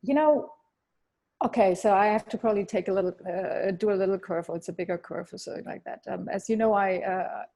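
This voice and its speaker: Interviewee in her fifties; husky, halting, restarts a lot. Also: medium pitch, mellow. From Germany. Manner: hesitant, many fillers and restarts. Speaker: interviewee, architect. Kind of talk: thoughtful interview answers.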